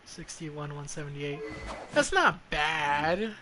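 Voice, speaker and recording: Blunt higher-voiced man over noisy background. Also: sing-song, slow pace. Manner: blunt, foul-mouthed, mutters to self. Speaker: game streamer. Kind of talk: casual gameplay commentary.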